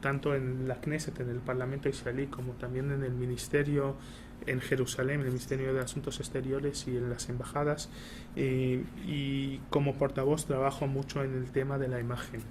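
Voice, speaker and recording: A man speaking Spanish as a second language, with an Argentine accent. He talks in a halting way, stopping on fillers and restarting his phrases. Steady background noise runs under the recording. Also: mid-range voice. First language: Hebrew. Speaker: embassy spokesperson giving a talk. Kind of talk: prepared public talk with frequent hesitations. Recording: noisy room recording.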